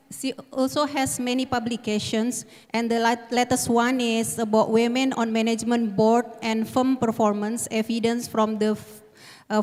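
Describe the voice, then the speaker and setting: Woman hosting a conference panel, bit high voice, lots of uh, echoey hall. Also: darkish tone. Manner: polite, halting with fillers. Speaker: session moderator. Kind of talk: conference moderation.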